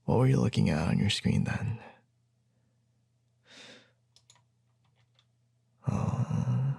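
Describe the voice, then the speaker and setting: A man playing a gentle, reassuring young boyfriend in an intimate ASMR roleplay, speaking softly and tenderly, often close to a whisper, with hesitant pauses. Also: fairly low pitch, full character voice. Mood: concerned, attentive. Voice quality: soft, breathy, husky, often near a whisper.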